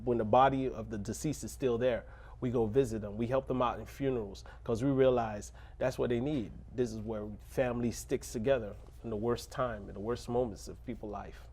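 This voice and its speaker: A man who grew up in a rough neighborhood, speaking as a pastor in a casual interview, earnest and preacherly, breaking into laughs and jokes. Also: mid-range voice, fairly even intonation, a little fast, a little mellow. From Little Haiti, Miami.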